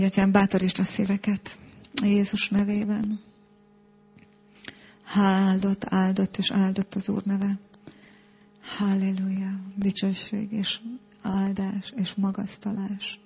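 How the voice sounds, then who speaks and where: Prayer leader chanting in tongues over and over, really monotone, muffled lo-fi sound. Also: mid-range voice, gravelly. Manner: repetitive, incantatory praying. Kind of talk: fervent charismatic prayer with chanted glossolalia.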